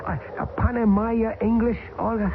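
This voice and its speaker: An actor playing a wry, joking bush pilot in a 1970s radio drama. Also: male voice, high voice, steady tone, normal speed. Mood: urgent. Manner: wry, joking under strain. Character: wisecracking male American bush pilot, easygoing. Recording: tinny lo-fi old radio broadcast, hissy.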